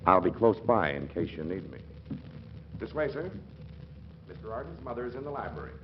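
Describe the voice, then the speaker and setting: An actor playing a dry, deferential household servant with a droll manner, in 1940s radio comedy style. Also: male voice, lowish voice, big pitch swings, fairly quick. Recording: dull, faintly noisy 1940s radio recording.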